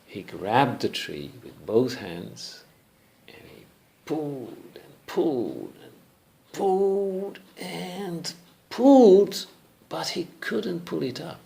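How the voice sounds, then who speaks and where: A male English teacher slowly telling a folktale in simple English for learners, pausing to check in with listeners. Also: a little high, big pitch swings, creaky, warm tone. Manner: slow, deliberate, checks in with listeners.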